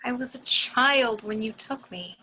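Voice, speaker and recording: A woman's voice: on the high side, unhurried, pushed and bright, slight background noise, low-quality recording. A woman playing a bitter, defiant warrior daughter in an amateur script read, with an emotional, accusing delivery.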